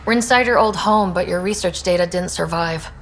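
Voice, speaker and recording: A woman's voice: fairly low pitch, fairly quick, noisy background, natural voice. A woman playing a confident, upbeat young space explorer and squad leader in a video game, casual and assured. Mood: alert, matter-of-fact. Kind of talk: video game dialogue acting.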